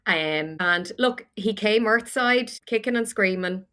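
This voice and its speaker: A woman's voice: medium pitch, slow, sharp tone. A woman in her forties with an Irish accent, a podcast guest telling her story frankly with self-deprecating humour. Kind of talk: candid storytelling chat.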